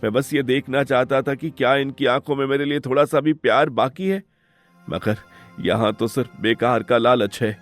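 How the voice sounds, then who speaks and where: A man's voice: medium pitch, fairly even intonation, moderate pace, soft background music, lightly acted. A man voicing a wronged but principled younger brother from a village in a melodramatic cartoon moral tale, speaking earnestly and sorrowfully. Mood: sad, resolute. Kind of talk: melodramatic Hindi moral-story cartoon acting.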